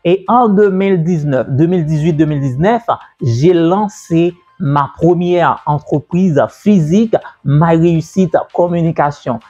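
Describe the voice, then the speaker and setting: A man's voice: a little high, measured pace, hardly any pauses, dark tone, breathy edge. Man telling his business story. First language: French. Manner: conversational, repeats himself, many fillers. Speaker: entrepreneur and business coach. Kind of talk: personal story told to camera. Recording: dull, lo-fi sound with faint music.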